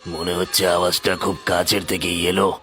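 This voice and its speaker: Man playing a stern, sharp-voiced village uncle. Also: deep voice, level tone, normal speed. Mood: knowing. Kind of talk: horror cartoon voice acting. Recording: background music throughout.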